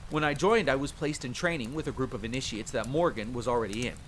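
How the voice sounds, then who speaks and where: A man's voice: medium pitch, fast talker, close to an everyday voice. A man playing a young, brash but good-natured vampire hunter and former street thief, telling his story informally and theatrically in an audio roleplay. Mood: playful, teasing. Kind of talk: dramatic campfire storytelling roleplay. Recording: steady rain and fire ambience noise. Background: rain, rolling thunder, campfire ambience.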